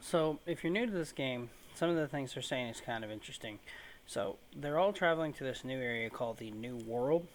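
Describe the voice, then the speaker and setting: A man's voice: mid-range voice, animated, brisk. Casual male gamer, faint background noise. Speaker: gaming video host. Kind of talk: casual let's-play commentary. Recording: faint noise in home recording.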